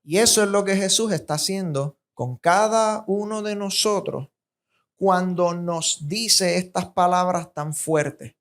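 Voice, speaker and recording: A man's voice: sits high, unhurried, a bit bright. A man from Puerto Rico with a Puerto Rican accent, preaching a sermon with emphatic, rhythmic repetition that rises on key points. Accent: Puerto Rican Spanish.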